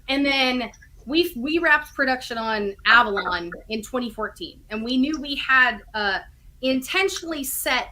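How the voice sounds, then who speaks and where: A woman's voice: a little high, sharp tone, slight background noise, rough recording. A chatty woman in casual interview conversation as a guest writer and producer, often correcting herself as she explains. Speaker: audio drama writer and producer, podcast guest. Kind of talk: animated casual interview chat.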